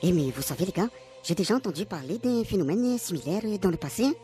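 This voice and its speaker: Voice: medium pitch, lively intonation, fast talker, dark tone, natural voice. A performer playing an earnest housemate who tells spooky tales in a comic radio serial. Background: music.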